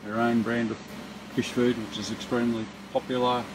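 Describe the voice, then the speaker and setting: A man's voice: medium pitch, level tone, background noise. An Australian man, the owner, giving a casual walkthrough of his store and listing products one by one. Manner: slow, unhurried listing of items one by one. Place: indoor retail store.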